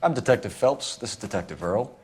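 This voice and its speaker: A man's voice: fairly low pitch, lively intonation, fairly quick, darkish tone, husky. An actor playing a firm, probing American police detective in a video game, clipped and formal in his questioning. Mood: businesslike. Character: firm, probing male 1940s Los Angeles police detective. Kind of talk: video game cutscene acting. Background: music.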